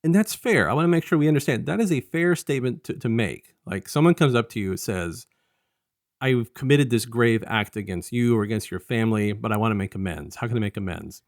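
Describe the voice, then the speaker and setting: A man's voice: medium pitch, lively intonation, a little fast, dry recording, clean studio sound. A man co-hosting a review podcast, casually retelling a story in a rambling way and slipping into paraphrased dialogue. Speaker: podcast co-host reviewing an audio drama. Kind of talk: casual conversational story recap.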